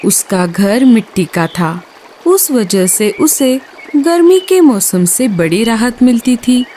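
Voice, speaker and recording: A woman narrating a cartoon story in an expressive storytelling manner. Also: on the high side, slow, a little hiss or hum.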